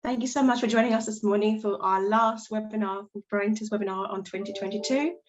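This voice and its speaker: A woman with a croaky voice from a cold, hosting a webinar in a friendly, halting way. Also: mid-range voice, fairly even intonation, lo-fi sound, noisy background. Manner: friendly, halting, self-correcting. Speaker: webinar host. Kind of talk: webinar welcome.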